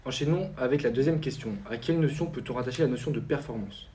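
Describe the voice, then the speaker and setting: A man's voice: mid-range voice, fast talker, heavy background noise. A young man hosting a student quiz show, reading questions and explanations in a scripted announcer tone. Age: young adult.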